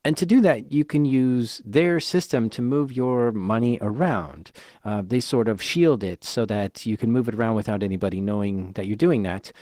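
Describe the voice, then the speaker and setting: A man's voice: mid-range voice, normal speed, dark tone, dry recording. Male podcast host, dry close sound. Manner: clear explanatory narration, asking short follow-up questions. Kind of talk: scripted podcast narration.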